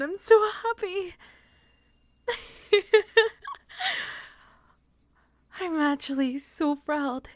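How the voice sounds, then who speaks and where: A woman playing a tender, excitable young woman in an improvised ASMR roleplay, hesitant and soft, slipping into whispers. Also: high voice, dry recording. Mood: overjoyed. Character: young woman nervously leaving her hometown, tender and excitable.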